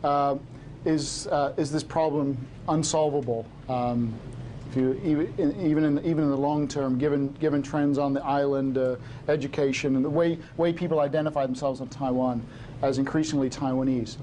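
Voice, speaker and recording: A middle-aged man from Hong Kong lecturing and answering audience questions, halting, with many fillers and restarts. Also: medium pitch, fairly even intonation, dark tone, slight background noise.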